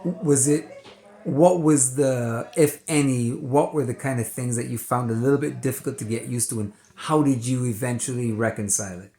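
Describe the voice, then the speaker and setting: Male interview host, medium pitch, formal intro, echoey room. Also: moderate pace, dark tone. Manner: formal show introductions, short questions. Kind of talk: interview hosting.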